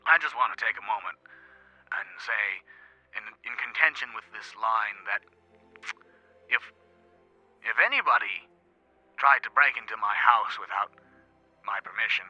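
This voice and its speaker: A man playing a doddering old war veteran, halting and stammering as he gives a comic dramatic reading of a Christmas poem. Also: on the low side, bright, forceful tone, no echo, muffled recording, faint music behind, full character voice. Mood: rambling, grave. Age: older. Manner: halting, stammering, throat-clearing, wandering off on tangents.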